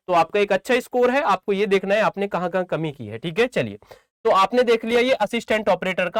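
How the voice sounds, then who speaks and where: A man's voice: high-pitched, smooth, no room sound, studio quality. A man giving informal instructional talk to students as a coaching teacher, repeating phrases for emphasis and often checking that they follow.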